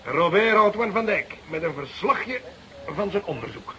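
An actor playing an earnest junior police detective who reports and gently protests, in a Dutch radio drama. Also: male voice, high voice, animated, moderate pace, heavily acted. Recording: hissy, noisy radio drama recording.

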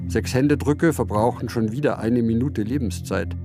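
An actor playing an overlooked, dutiful valet's assistant in a radio play, speaking formally and precisely. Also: male voice, medium pitch, normal speed, music in the background, natural voice. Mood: earnest, dutiful.

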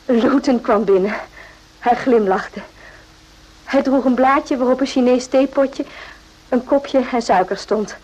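Guarded, formal woman doctor, fairly high. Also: a little slow, pauses often, a little mellow. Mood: uneasy. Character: uneasy, firm female army doctor, correct and guarded. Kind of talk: radio drama acting with narration. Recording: noisy old radio play recording.

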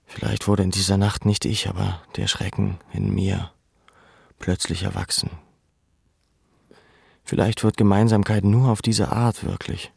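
A man looking back on his deportation to a camp. His voice is low and creaky, recorded very dry and close. He narrates in a measured, reflective way, as in a literary audio drama. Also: little pitch movement, a little mellow, dry recording, exaggerated voice. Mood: sober, uneasy. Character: male camp survivor soberly recalling his deportation.

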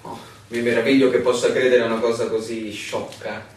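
A man's voice: mid-range voice, flat delivery, brisk, darkish tone, natural voice. An actor playing a stubborn, intense male outsider in a radio drama, insistent and ironic in his questioning. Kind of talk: Italian radio drama acting. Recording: echoey room, distant mic, faint noise.

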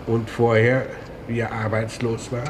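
An actor playing a persistent police commissioner in a 1950s German radio play, questioning people tersely. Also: male voice, mid-range voice, completely flat delivery, measured pace, lightly acted. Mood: probing, businesslike. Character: persistent, probing police commissioner on a murder case. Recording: old radio recording with clear background noise.

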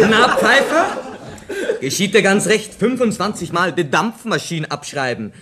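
A man's voice: sits high, heavy background noise, exaggerated voice. An actor playing a taunting schoolboy tattletale in a radio play, gleefully needling his classmates. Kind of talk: German radio play acting.

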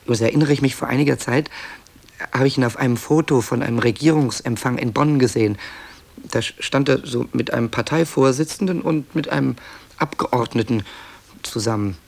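A man's voice: mid-range voice, a little fast, gravelly, a little mellow. A man playing a reflective author in a 1960s radio play, thinking aloud in a hesitant, dryly ironic way as he narrates. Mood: musing, dry. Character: reflective male radio author presenting his taped documents. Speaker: narrator and interviewer.

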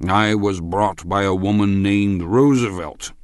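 A man's voice: on the low side, a little slow, no room sound, clean studio sound, voice pushed up, lightly acted. A man voicing a stout, drunk middle-aged party guest in an audiobook, impetuous and emphatic as he rambles. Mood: tipsy, demanding. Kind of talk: audiobook character voice.

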